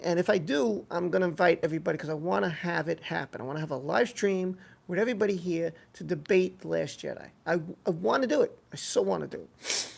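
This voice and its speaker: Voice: medium pitch, creaky. A live stream host chatting casually and reading out viewer comments, rambling and repeating phrases for emphasis.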